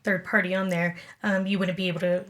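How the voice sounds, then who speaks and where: A woman's voice: lowish voice, flat delivery, quick pace, a bit bright. A woman giving casual explanations as a club guest in an interview. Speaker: amateur radio club member, guest.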